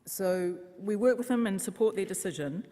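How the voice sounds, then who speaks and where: A woman with a New Zealand accent who works for a funeral service, explaining it clearly at an open mic conference talk with light self-mockery. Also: mid-range voice, expressive, normal speed, dark tone. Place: church hall conference. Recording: long reverb of a large hall.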